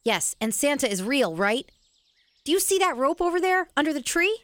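High, animated young boy. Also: moderate pace, dry recording. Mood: practical, sceptical. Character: Ben, young boy, practical, sceptical amateur sleuth. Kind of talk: scripted family audio drama.

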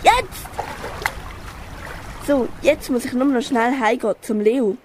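A woman's voice: a little high, slow, darkish tone, slight background noise. A schoolgirl with a Swiss German accent playing a helpful, resolute elephant in a children's radio play. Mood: helpful, eager. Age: child or young teen pupil. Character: helpful, resolute young female elephant Molly.

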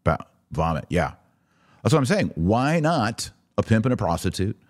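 Low-voiced man hosting a live podcast. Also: sing-song, normal speed, no echo, clean studio sound. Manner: informal, opinionated, emphatic. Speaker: podcast host. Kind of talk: live commentary, reading listener chat comments.

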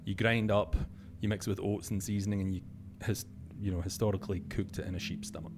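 A man's voice: on the low side, fairly even intonation, quick pace, soft background music. A man in his thirties from Glasgow with a Scottish accent, chatting casually as an interview guest with a few hesitations. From Scotland.

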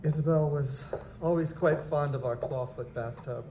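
A young nobleman, acted by a man, with a fairly high, mellow voice and stiff, formal phrasing. He is touchy and proper, a bereaved lord in a live audio drama. The sound is roomy and echoing, with some noise. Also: moderate pace, close to an everyday voice. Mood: wistful, troubled. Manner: formal, stiff, aristocratic diction.

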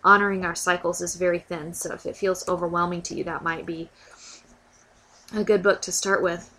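A woman's voice: fairly low pitch, flat delivery, moderate pace. A woman hosting a podcast, talking casually and a little stuffy from a cold, often repeating words as she thinks. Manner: warm, informal, repeats words while thinking. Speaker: podcast host. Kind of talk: casual educational podcast talk.

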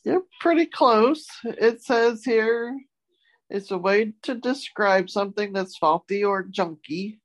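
A woman's voice: mid-range voice, takes it slowly. A woman co-hosting a casual talk segment, chatting and reading out word definitions. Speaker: co-host leading a word segment. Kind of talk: casual chat, reading out dictionary entries.

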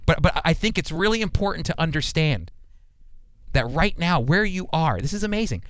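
An American man teaches the Bible as a radio host. His voice is clear and smooth, recorded close and dry in a studio. He speaks conversationally but earnestly, leaning on key words like a preacher. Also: fairly high pitch, animated, normal speed, fairly bright tone, no echo. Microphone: close studio microphone.

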